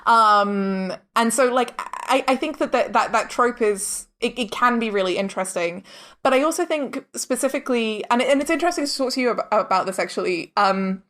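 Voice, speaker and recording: A woman's voice: fairly high pitch, normal speed, fairly bright tone. Chatty woman in a casual interview. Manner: chatty, informal, frequent "like" and "kind of". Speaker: interview guest, audio drama director and producer.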